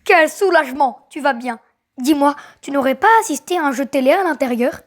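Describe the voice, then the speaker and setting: A woman's voice: sits high, a little fast, close to an everyday voice. A woman voicing an anxious, blunt cartoon circus character in a fan dub, with a jittery manner. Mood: nervous.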